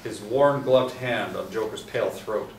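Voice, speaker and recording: A man with a lowish voice reads stage directions in a steady way, stumbling a little now and then. He sounds like he is in an echoey room, some distance from the mic, with faint hiss behind him. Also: unhurried. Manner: steady, with small restarts. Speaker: script narrator. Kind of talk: reading stage directions aloud.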